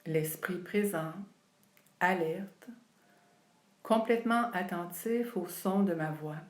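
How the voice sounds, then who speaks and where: A woman leading a guided yoga relaxation, speaking calmly and soothingly with long pauses between phrases. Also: on the low side. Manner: calm, unhurried, soothing delivery with long pauses. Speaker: yoga nidra instructor. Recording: roomy, echoing sound.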